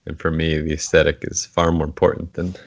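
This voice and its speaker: A man's voice: rumbling low, level tone, brisk, creaky, no echo. A man chatting on a podcast, mostly brief agreeing remarks with dry humor. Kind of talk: casual podcast chat.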